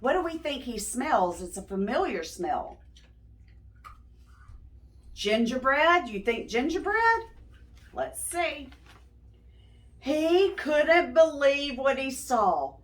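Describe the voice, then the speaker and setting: A woman's voice: high-pitched, very animated, slow pace, pauses often. A woman reading a story aloud to young children as a host, stopping in a teacherly way to prompt them with simple questions.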